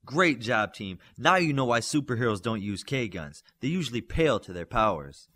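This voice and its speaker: Stern coach played by a male narrator, authoritative and projected, swinging widely in pitch, audiobook drama. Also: big pitch swings, moderate pace. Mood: brisk, instructive. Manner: loud, clipped, authoritative. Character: stern, commanding male coach training young superheroes.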